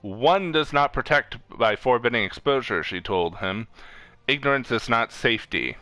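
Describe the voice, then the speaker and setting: A man's voice: mid-range voice, animated, moderate pace, sharp tone, dry recording. A man streaming a game, talking in an informal, playful way with casual commentary. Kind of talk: casual gaming chat and commentary.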